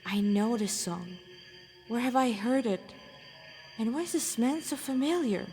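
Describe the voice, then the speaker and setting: A woman playing an awkward fifteen-year-old orphan boy, a restless young punk who talks in a halting, blunt, informal way. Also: on the high side, lively intonation, dark tone, in a large hall, faint music behind. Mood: bewildered.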